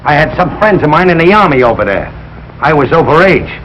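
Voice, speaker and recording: An actor playing a blustering, good-hearted Brooklyn working-class husband, blunt and slangy, in old broadcast sitcom style. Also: male voice, on the high side, big pitch swings, brisk, a bit bright, lo-fi sound. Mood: angry, blustering. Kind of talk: 1940s-50s broadcast sitcom acting. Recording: noisy old broadcast recording.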